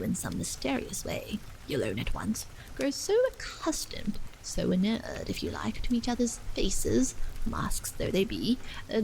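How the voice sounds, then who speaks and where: A woman plays an elderly clergyman in a bedtime storybook, cordial and earnest. The pitch swings widely and crackles with creak as he argues patiently in grand, formal phrases. Soft rain runs behind the voice. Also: heavily acted. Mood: grave, persuasive. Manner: emphatic, rhetorical, formal old-fashioned phrasing. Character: seventy-one-year-old clergyman, earnest, cordial, patiently persuasive. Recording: steady rain sounds behind the voice.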